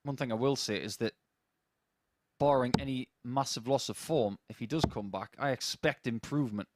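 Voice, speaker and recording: Deep-voiced guy doing darts commentary, chatty, analysing the play with his mate. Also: moderate pace, noisy background. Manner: conversational analysis, with asides to a co-commentator. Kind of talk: live sports commentary.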